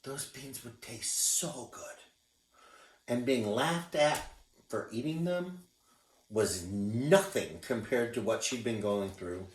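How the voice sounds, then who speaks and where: A man reading a picture book aloud to children as a teacher, expressive and encouraging, pointing out the pictures. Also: mid-range voice, slow pace, far from the mic. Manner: expressive, encouraging storyteller who shows pictures. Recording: echoey room.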